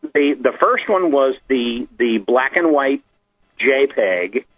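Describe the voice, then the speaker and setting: Male guest on a narrow, slightly husky phone line, conversational explainer working through technical points step by step. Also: medium pitch, lively intonation, slow pace. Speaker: guest explaining digital documents. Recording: muffled, tinny phone line.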